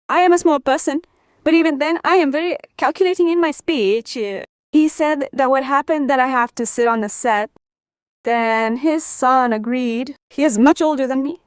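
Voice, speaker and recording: Female interview guest, high voice, dry close sound. Emphatic personal storyteller who repeats phrases for weight. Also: normal speed, clean tone, no room sound. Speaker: interview guest, former sprinter.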